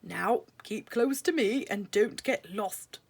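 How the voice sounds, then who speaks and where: A woman voicing a gentlemanly, caring and anxious father mouse in a storybook, slow and deliberate. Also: medium pitch, lively intonation, gravelly, slightly rough, full character voice. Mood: gently cautioning.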